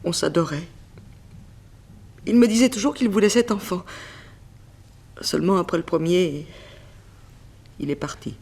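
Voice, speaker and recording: A woman's voice: lowish voice, measured pace, long pauses, darkish tone, husky. An actress playing a grieving middle-aged mother, a former seamstress, in a plaintive, dramatic 1960s French radio mystery style. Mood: wistful, tender.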